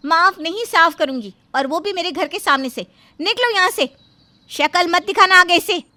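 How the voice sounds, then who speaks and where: A woman voicing a sassy, sharp-tongued young daughter-in-law in a story, sarcastic and challenging. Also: very high voice, lively intonation, moderate pace, voice pushed up, exaggerated voice. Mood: indignant.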